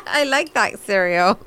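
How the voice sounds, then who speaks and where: A middle-aged woman co-hosting a talk show in casual, unscripted banter, brash and quick to swear. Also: mid-range voice. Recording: noisy background.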